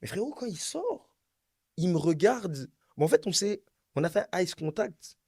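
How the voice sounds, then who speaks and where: A young man tells a wild story in a casual chat. His voice sits a little high and he talks in an animated, slangy way, hammering his points home by repeating them. The sound is close and dry. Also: moderate pace, husky, dry recording. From Belgium, Brussels.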